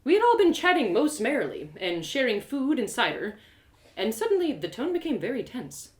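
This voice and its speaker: A woman storyteller giving an expressive live reading of a first-person fantasy audio drama, occasionally correcting herself. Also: on the high side, moderate pace.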